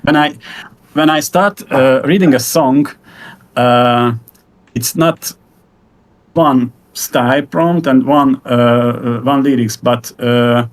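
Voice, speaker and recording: A middle-aged man with a Hungarian accent, a native Hungarian speaker, talking haltingly in English on a video call and searching for words with many fillers. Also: mid-range voice. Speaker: guest musician. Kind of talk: casual conversation.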